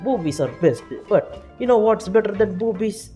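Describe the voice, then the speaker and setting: A woman's voice: medium pitch, expressive, moderate pace, mellow, faint music behind. A woman playing a vain male French magician with a put-on French accent while streaming a game in casual, pompous chat. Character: vain, prideful self-styled great French stage magician, male. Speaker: live streamer.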